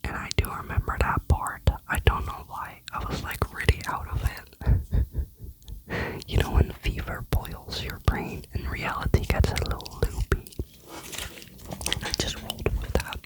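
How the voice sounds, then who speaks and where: A vlogger telling a rambling, chatty personal story in a soft ASMR whisper. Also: a little slow, rough recording. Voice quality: whispering throughout.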